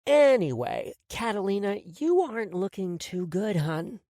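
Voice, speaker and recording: A woman voicing a calm, cruel woman who toys with her captives, speaking softly and with quiet menace. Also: mid-range voice, unhurried, dry recording, clean studio sound. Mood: cold, menacing. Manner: soft, coolly menacing.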